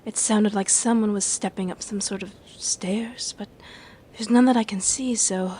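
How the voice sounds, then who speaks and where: A woman playing a tabletop fantasy game, roleplaying casually in a hesitant, soft-spoken way. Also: mid-range voice, steady tone, normal speed, a little hiss or hum.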